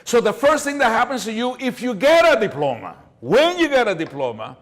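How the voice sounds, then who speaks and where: A man's voice: very high-pitched, big pitch swings, fairly quick. An older man lecturing at a public seminar, speaking emphatically and repeating words to drive points home. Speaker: guest speaker, educator. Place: lecture hall, at a podium. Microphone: podium microphone.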